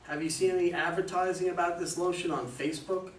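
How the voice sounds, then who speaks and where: A man's voice: sits high, fairly even intonation, fast, natural voice. A man playing a composed, knowing consultant who questions and instructs his clients in a staged play reading. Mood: composed, probing. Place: room at a live readers' theater reading. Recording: echoey room, distant mic, faint noise.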